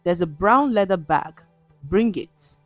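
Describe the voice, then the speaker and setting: A frail old chief, played by a woman speaks slowly in a storybook tale, weak and full of regret. The delivery is confessional, with lively pitch and music underneath. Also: no room sound. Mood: regretful. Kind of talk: storybook narration dialogue.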